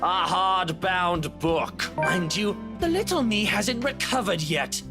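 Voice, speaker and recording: A woman plays a cocky man from a visual novel, sarcastic and fond underneath. The delivery is mocking and dryly theatrical, with lively swings in pitch. Music plays clearly behind the voice. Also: slow pace. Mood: indignant, mocking. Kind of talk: visual novel character voice-over.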